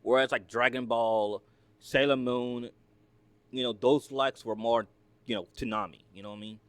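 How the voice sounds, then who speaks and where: A man's voice: medium pitch, steady tone, normal speed, fairly bright tone, clear, resonant tone, no room sound. A man from the United States hosting a reaction video, talking casually in a rambling, filler-heavy, chatty way.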